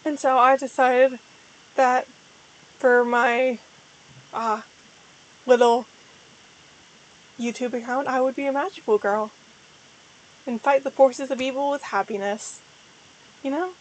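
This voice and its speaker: Hoarse young woman chatting on stream. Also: high voice, steady tone, slow pace, long pauses, poor audio. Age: young adult. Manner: rambling, self-deprecating, frequent restarts. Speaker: VTuber streamer. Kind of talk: casual livestream chat.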